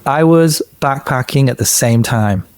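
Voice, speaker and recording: A man's voice: mid-range voice, measured pace, a little mellow, clear, resonant tone. A man hosts a casual interview, his voice sitting mid-range for a man. He is eager and curious, jumping in with questions. The sound is dry, with no room echo. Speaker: interview host.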